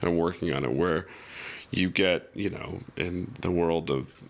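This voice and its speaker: A man with a low, creaky voice speaks as a guest in a relaxed, reflective chat. He muses haltingly, reaching for his words as he goes. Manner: halting, musing, filler-heavy. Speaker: podcast guest. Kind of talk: reflective casual conversation.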